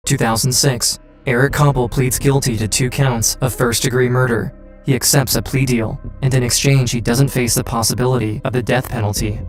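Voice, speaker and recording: A man's voice: medium pitch, fairly even intonation, normal speed. A man reading scripted true-crime documentary narration. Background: faint music.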